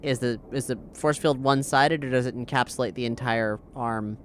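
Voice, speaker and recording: A man's voice: mid-range voice, moderate pace, fairly bright tone, soft background music. A man playing a tabletop game in a casual, easygoing way, speaking for his character and joking along. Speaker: player.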